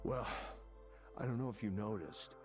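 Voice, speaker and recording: A man's voice: lowish voice, moderate pace, darkish tone, close to an everyday voice. A man playing an angry, middle-aged veteran tech manager in a visual novel game, combative, sarcastic and foul-mouthed. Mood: scornful. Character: angry veteran male tech manager, arrogant, bitter, ranting. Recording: game audio with music behind. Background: music.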